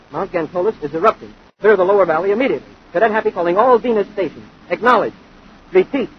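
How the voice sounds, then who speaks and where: An actor playing an earnest, excitable young space cadet in a 1950s radio serial. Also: male voice, sits high, natural voice. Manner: eager, urgent. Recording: noisy, dull 1950s radio recording.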